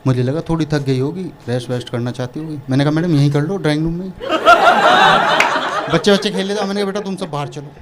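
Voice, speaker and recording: A man from Uttar Pradesh tells jokes in a stand-up set, his voice sitting high. He acts out little scenes in quick dialogue. Heavy noise sits under the recording. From Uttar Pradesh, India. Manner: animated storytelling, acting out dialogue. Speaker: stand-up comedian. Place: stage with audience laughter.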